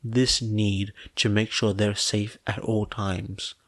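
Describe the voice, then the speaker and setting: Quiet, reserved teenage boy read by a man. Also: lowish voice, no room sound, clean studio sound. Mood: troubled. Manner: flat, halting, understated. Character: quiet, reserved teenage boy, earnest and troubled younger brother. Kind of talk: audiobook character dialogue.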